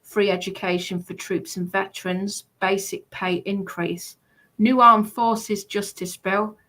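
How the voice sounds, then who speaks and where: A woman with a British accent and a bright, forward voice at a middling pitch. She reads through points as a casual presenter, explaining as she goes and sometimes halting mid-list. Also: fairly even intonation, poor audio. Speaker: presenter going through party policies. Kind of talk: casual talk, reading aloud from notes.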